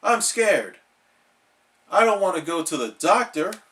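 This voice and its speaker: A man voicing an excitable, sickly cartoon sea sponge in a dramatic, whiny, pleading manner. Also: sits high, unhurried, voice pushed up, full character voice. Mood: anxious pleading.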